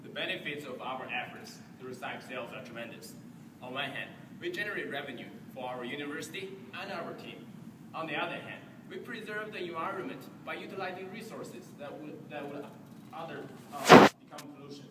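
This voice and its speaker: Young man, from China, student presenter, rehearsed speech, slightly high voice, distant mic, roomy hall. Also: a little fast, background noise. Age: young adult. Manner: recited, with small restarts. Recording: echoey hall, recorded from afar.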